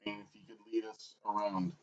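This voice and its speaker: A man's voice: lowish voice, level tone, brisk. A man with a Rhode Island accent, playing a tabletop game in joking, casual chat that often trails off. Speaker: player. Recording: dull lo-fi stream audio.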